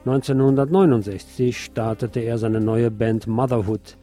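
A man narrating a scripted obituary tribute as a radio host, in a measured, formal reading manner. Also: mid-range voice, fairly even intonation, mellow, faint music behind.

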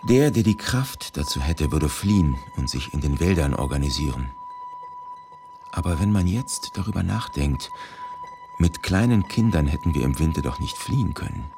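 Very low male voice, grave, measured delivery, scripted radio narration, music clearly behind. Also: animated. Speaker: reader of a historical eyewitness testimony.

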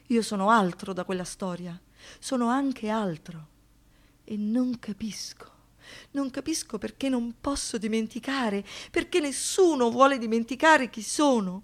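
A woman acting an anguished, defiant survivor of violence in a theatrical radio monologue, with an intense delivery that moves between hushed and explosive. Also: medium pitch, lightly acted. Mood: wounded, resolute. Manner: intense, swinging from hushed to outbursts.

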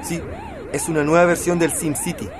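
Laid-back Chilean older brother. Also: male voice, sits high, huge pitch swings, moderate pace, a crowd in the background. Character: laid-back university student older brother, teasing, bossy. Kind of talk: educational radio drama acting.

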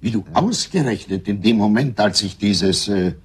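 An actor playing a good-natured, easily flustered English gentleman in a German radio drama, with hesitant, muttered interjections. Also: lowish voice, expressive, moderate pace, breathy edge. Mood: flustered, indignant.

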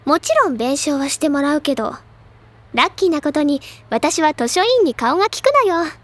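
High-pitched, theatrical schoolgirl. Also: expressive, moderate pace, no echo. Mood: eager, persuasive. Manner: dramatic, declamatory, lecturing. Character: excitable, theatrical high-school literature-club girl, self-assured upperclassman. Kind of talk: anime-style audio drama acting.

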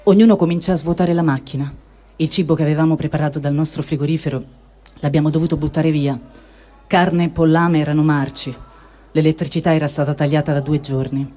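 A man with a mellow, darkish tone and a somewhat high voice reads a first-person diary aloud. He keeps a calm, unhurried pace throughout. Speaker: reader.

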